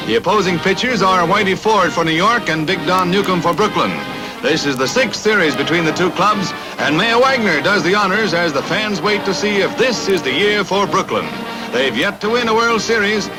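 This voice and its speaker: High-voiced American man, punchy vintage newsreel announcer. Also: animated, normal speed, low-quality recording. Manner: punchy, dramatic announcer delivery. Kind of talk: 1950s newsreel sports narration, scripted. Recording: vintage 1950s newsreel soundtrack with loud music. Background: loud music under the narration.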